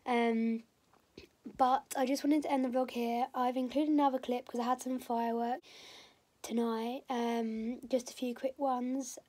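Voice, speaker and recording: Husky-voiced chatty young woman. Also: on the high side, flat delivery, measured pace, darkish tone. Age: 20s. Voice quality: husky, strained voice. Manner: chatty and rambling, lots of fillers. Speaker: vlogger. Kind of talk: casual vlog chat. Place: indoors at home, bedroom and kitchen. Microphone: phone camera held at arm's length.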